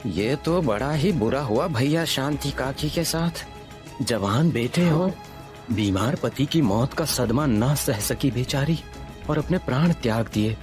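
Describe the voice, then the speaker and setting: A man's voice: medium pitch, animated, a little slow, darkish tone, soft background music, voice pushed down, lightly acted. A man voicing a village man speaking with sorrowful sympathy. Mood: sad. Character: village man, pitying gossip.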